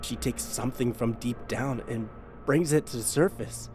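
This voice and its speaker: A man's voice: mid-range voice, moderate pace, music in the background, lightly acted. An actor voicing a proud god-king who speaks in a formal, grandiose way. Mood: calm.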